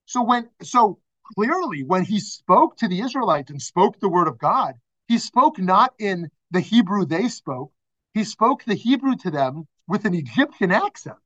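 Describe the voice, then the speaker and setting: A man's voice: high voice, sing-song, unhurried, bright, forceful tone. A man, a rabbi, teaching a class over a video call, talking conversationally, putting questions to the group and laughing often. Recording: dull lo-fi video call audio.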